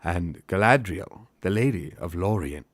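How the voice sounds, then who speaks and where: Man acting as male elf guide, fantasy storybook, low voice, formal, stately, deliberate, affected accent. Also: wildly swooping pitch, a bit bright, dry recording, heavily acted. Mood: solemn welcome.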